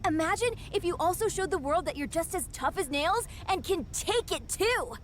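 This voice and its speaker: An excitable, bubbly young female schemer in a video game. Her pitch is extremely high and leaps around as she gushes. Faint noise sits behind her. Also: moderate pace. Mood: gushing excitement. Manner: gushing, breathlessly enthusiastic. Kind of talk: video game cutscene acting.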